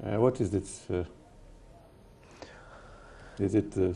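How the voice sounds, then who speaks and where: A man's voice: fairly low pitch, slow, creaky, mellow, a little hiss or hum. An older man hosting a studio interview, hesitant, with many fillers and tag questions. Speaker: talk show host.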